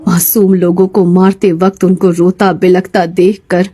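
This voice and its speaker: A woman playing a grave mother in a horror story, telling her child ominously and measuredly about dark things. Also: on the low side, level tone, a little slow, breathy edge, dry recording. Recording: loud background music under voice.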